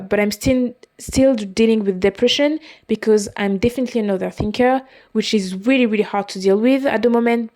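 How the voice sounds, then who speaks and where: A young woman in her twenties talks through her own story as a podcast host. English is not her first language. She speaks haltingly and often stops to fix her own words, in a plain, mid-pitched voice. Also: darkish tone. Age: 20s. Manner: halting, with frequent restarts and self-corrections. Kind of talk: personal confessional monologue.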